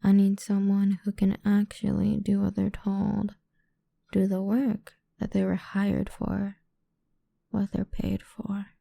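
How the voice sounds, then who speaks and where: Woman acting a dark-voiced, slow, domineering female boss. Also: mid-range voice, no room sound. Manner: condescending, deliberate, drawn-out delivery with breathy whispers. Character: cruel, domineering female boss toying with an employee. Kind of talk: ASMR roleplay, half whispered, slow and close. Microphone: close microphone, dry.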